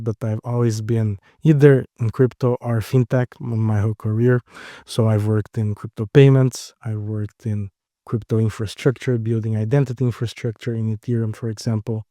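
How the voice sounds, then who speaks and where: A man's voice: mid-range voice, mellow, no echo, studio quality. A man from Brazil with a Brazilian accent, a startup co-founder answering interview questions in short, explanatory phrases.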